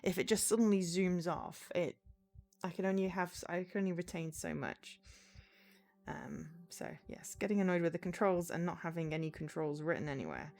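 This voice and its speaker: A woman's voice: fairly low pitch, lively intonation, normal speed, a little mellow. A woman game streamer chatting live and reading game text aloud, breaking off for exasperated asides.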